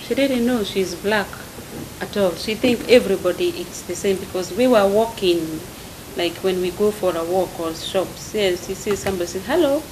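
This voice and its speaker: A woman leading a casual group discussion, with halting, simply phrased speech and frequent "mm" backchannels. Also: mid-range voice, noisy background. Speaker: discussion leader.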